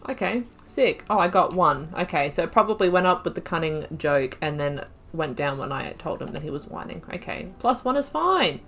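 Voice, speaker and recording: A woman's voice: low-pitched, expressive, normal speed, few pauses, fairly bright tone. A woman giving casual, chatty commentary on her video game playthrough, giggling and muttering asides. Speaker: gaming YouTuber. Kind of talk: casual let's-play commentary.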